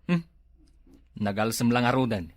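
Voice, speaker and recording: A man's voice: mid-range voice, steady tone, a little slow, no room sound, clean studio sound, natural voice. A man with an Ilocano accent acting a smitten village husband in a comedy skit. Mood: wistful, smitten.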